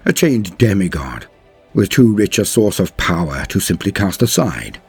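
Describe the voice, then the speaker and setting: A man's voice: sits low, sing-song, normal speed, darkish tone, husky, soft background music. A man giving a dramatic scripted narration of grim fantasy war lore, with a solemn, theatrical and emphatic delivery.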